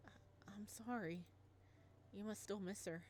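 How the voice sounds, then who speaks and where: A woman voicing a shy, frightened young male ghost who stammers softly in a visual novel. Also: mid-range voice, measured pace, slight background noise, lightly acted. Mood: timid. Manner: stammering, hushed.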